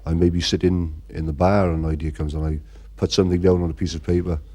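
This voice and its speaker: A man's voice: deep voice, level tone, quick pace, warm tone. A middle-aged man from Ireland, the band's frontman, talking in a casual interview and rambling with constant "you know" fillers. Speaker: band frontman.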